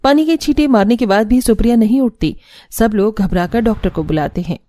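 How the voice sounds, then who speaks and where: A woman's voice: a little high, fairly quick, dark tone, no echo. A woman reading scripted narration for a cartoon family story.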